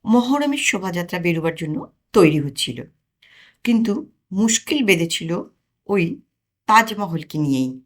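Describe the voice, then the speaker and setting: Woman, audiobook narrator, comic storytelling, unhurried, highly varied intonation, expressive pauses.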